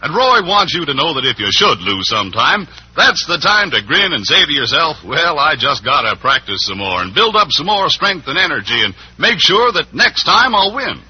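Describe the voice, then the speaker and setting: A man's voice: a little high, big pitch swings, normal speed, few pauses, slightly rough. A man announcing in old-time radio style, addressing young listeners. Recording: muffled, hissy vintage radio broadcast.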